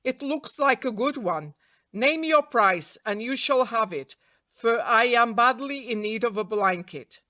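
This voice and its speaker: A woman's voice: fairly high pitch, expressive, unhurried, bright, forceful tone, no room sound. A woman voicing a little male sparrow in a storybook, an insistent, pert haggler. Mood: bargaining. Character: male talking sparrow, eager little haggler turning fierce.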